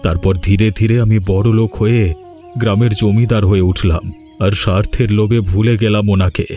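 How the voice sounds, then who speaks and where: A man's voice: lowish voice, steady tone, a little fast, dark tone, soft background music, close to an everyday voice. A man voicing a remorseful, humbled rich village landlord in a cartoon, speaking solemnly and confessionally. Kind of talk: Bengali cartoon voice acting.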